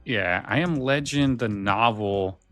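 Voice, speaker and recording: A man co-hosting a podcast, talking casually and haltingly, repeating phrases as he thinks. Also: on the low side, a bit bright, dry recording, soft background music. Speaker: podcast co-host.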